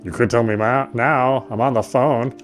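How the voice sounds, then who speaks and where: Mid-pitched man reading game text aloud. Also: fairly even intonation, moderate pace, faint music behind. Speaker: gamer reading a visual novel aloud. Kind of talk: let's play read-aloud with casual asides.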